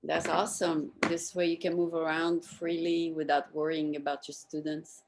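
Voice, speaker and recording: A woman's voice: low voice, level tone, moderate pace, noisy background. A woman speaking as the host of a casual, unscripted interview.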